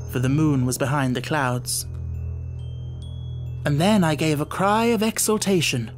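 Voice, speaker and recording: A man reading a classic mystery audiobook as dramatic narration, with measured, suspenseful storytelling. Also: fairly high pitch.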